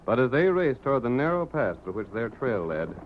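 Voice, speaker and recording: A man's voice: medium pitch, sing-song, a little fast, noisy background. Theatrical male narrator of old radio serial. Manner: theatrical, suspenseful, formal delivery. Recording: muffled 1930s radio with music behind. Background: dramatic music.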